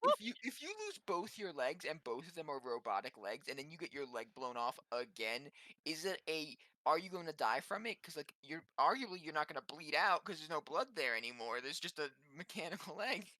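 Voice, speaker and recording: A man banters as a tabletop player in a lively, fairly high voice. His tone is bright, and he speculates playfully in a dry, close sound. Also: a little fast, no room sound. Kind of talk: casual game banter.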